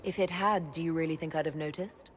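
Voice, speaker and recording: A woman's voice: low voice, steady tone, brisk, big-room sound, slight background noise, lightly acted. An actress playing a composed female elven mage leader in a video game, dry and direct in manner. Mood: composed, wry. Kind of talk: dramatic video game acting.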